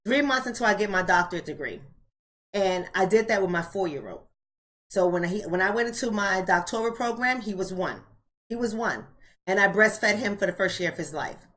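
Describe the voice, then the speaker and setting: A woman's voice: mid-range voice, animated, moderate pace, fairly bright tone. An American woman of about forty hosting a casual livestream, speaking colloquially and straight to her viewers, repeating phrases for emphasis. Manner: colloquial, addresses viewers directly. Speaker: host of a live online book club.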